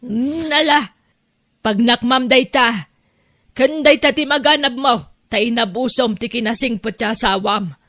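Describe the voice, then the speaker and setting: A woman's voice: on the high side, a little slow, fairly bright tone, dry recording, studio quality. A woman with an Ilocano accent playing a stern, reproachful mother who scolds and moralizes in an audio drama.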